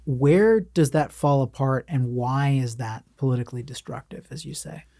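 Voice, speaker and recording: A man's voice: mid-range voice, normal speed, gravelly, warm tone, dry recording, clean studio sound. A man hosting a conversational interview as a journalist, introducing and questioning his guest.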